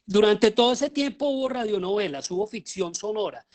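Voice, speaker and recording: A middle-aged man with a Colombian accent giving an informal, explanatory lecture in a halting way. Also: high voice, expressive, a little mellow. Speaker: lecturer, theater teacher.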